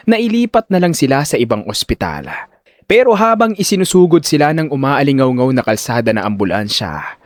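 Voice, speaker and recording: A man's voice: mid-range voice, no room sound, studio quality. A man giving dramatic scripted narration of a story.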